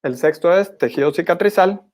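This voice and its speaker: A young man gives a formal academic presentation in a measured, didactic manner as a postgraduate student. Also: high-pitched, darkish tone. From Guatemala. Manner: measured, didactic, clearly enumerating.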